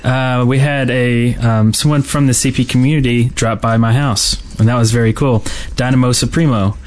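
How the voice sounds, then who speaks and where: Podcast co-host, male, thirties; giggly, slightly hesitant, casual group chat, medium pitch. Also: fairly even intonation, moderate pace. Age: 30s.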